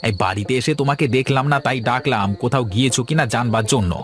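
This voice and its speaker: A gentle, henpecked village father from a fairy-tale cartoon, acted by a man. He speaks at a medium pitch in a mild, hesitant, weary manner, with music behind him. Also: fast, natural voice. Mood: placating.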